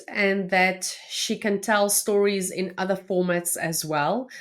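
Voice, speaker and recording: Low-voiced South African woman, earnest. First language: Afrikaans. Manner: earnest, with restarts and soft laughs. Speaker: podcast guest. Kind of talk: reflective interview answers.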